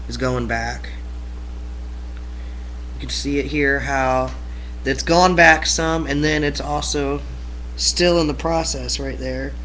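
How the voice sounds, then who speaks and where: A young man talks to his webcam in a loose, wandering video-diary way, full of ums and false starts. His voice has a bright, forward edge at a middling pitch. A faint hiss sits under the recording. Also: low-quality recording. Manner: rambling, lots of ums and restarts, occasional laughs. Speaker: vlogger. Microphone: computer webcam mic.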